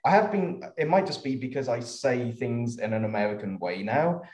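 A man's voice: medium pitch, lively intonation, normal speed, a little mellow, reverberant. A man with a British accent chatting casually as a panelist on a group video call from an indoor room. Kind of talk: casual chat.

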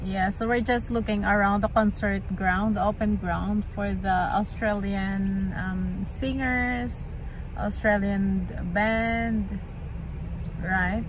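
A woman's voice: mid-range voice, fairly even intonation, slow pace, noisy background. A woman in her thirties chatting casually to vlog viewers in non-native English phrasing. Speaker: family vlogger. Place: in a car, then inside a busy market hall. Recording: music over the voice.

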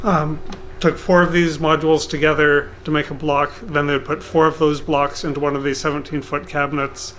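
A middle-aged man giving an informal technical explanation in a halting, hesitant way. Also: fairly high pitch, flat delivery, fairly bright tone. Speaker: guest expert. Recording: clear background room noise.

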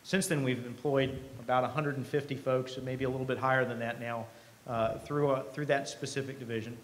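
A middle-aged man speaks to an event from a podium microphone, with clear ambient noise around him. His voice sits at an average pitch. He delivers his keynote in a conversational, earnest way, pausing deliberately to drive points home. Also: fairly even intonation. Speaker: company president, keynote speaker. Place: event hall stage. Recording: live podium speech with clear background noise.

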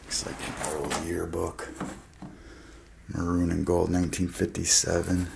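A deep, gravelly man's voice speaks slowly and softly, often sinking into a near whisper as he looks around. It is picked up by a camera mic with clear noise running behind it. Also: flat delivery. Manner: hushed, half-whispered remarks while exploring. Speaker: urban explorer vlogger. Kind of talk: quiet walkthrough narration. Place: abandoned funeral home indoors. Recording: noisy camera audio.

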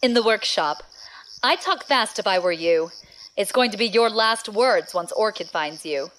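A woman's voice: mid-range voice, lively intonation, moderate pace, a bit bright, slight background noise, close to an everyday voice, low-quality recording. A woman playing a haughty, menacing young sister in an audio drama, scornful and theatrical. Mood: cold, threatening.

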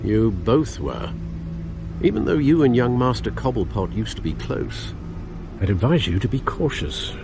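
An actor playing an older British butler in a video game, formal, measured, dryly witty and cautioning. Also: male voice, mid-range voice, very animated, over music.

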